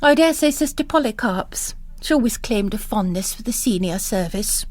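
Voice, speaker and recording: A woman voicing a bossy, gossipy older mother superior with a brisk, scornful and emphatic manner, in a story reading. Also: medium pitch, expressive, darkish tone, natural voice. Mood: chatty, amused.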